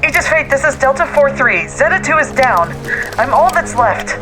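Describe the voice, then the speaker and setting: A woman's voice: medium pitch, animated, moderate pace, over music, lightly acted, rough recording. A woman playing a confident young soldier, a dry-witted sniper with clipped military delivery, in a sci-fi audio drama. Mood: tense, reporting. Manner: clipped military radio talk.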